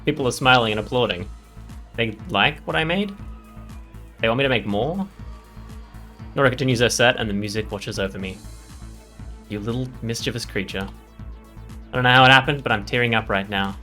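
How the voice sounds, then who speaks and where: A male let's player reads visual novel text aloud in a quick, bright voice of middle pitch, with his own reactions. Faint game music sits behind him.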